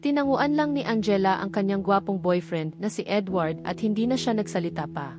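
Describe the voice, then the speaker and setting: A woman's voice: mid-range voice, brisk, music in the background. A woman with a Filipino accent reading a scripted romance novel as an audiobook narrator.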